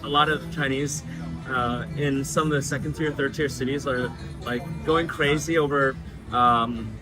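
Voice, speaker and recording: A man from the United States, a guest chatting casually in an interview, relaxed and full of fillers. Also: medium pitch, a little slow, music in the background, low-quality recording. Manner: relaxed, frequent fillers and laughs.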